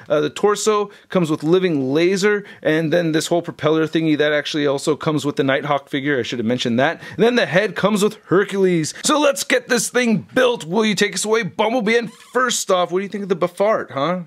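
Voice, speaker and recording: Higher-voiced man, casual reviewer. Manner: chatty, informal slangy talk. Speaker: toy review video host. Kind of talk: casual unscripted product review.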